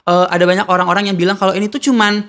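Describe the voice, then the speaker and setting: Doctor, podcast guest, quick pace, casual explanatory talk, colloquial wording. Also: mid-range voice, flat delivery, a bit bright. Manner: colloquial, explains medical terms plainly. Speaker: doctor and health content creator, podcast guest.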